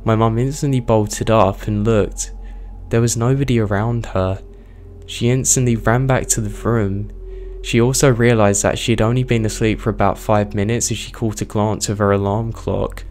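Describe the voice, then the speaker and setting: Horror narrator, male, low and hoarse, strained voice, steady scripted read, faint background hiss. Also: moderate pace. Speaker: horror story narrator, channel host.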